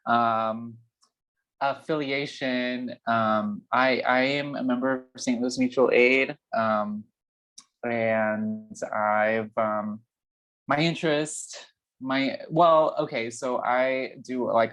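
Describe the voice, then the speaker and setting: A man from Nicaragua introducing himself on a group video call, halting and self-correcting with many ums. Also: medium pitch, flat delivery, a bit bright, poor audio. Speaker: mutual aid member.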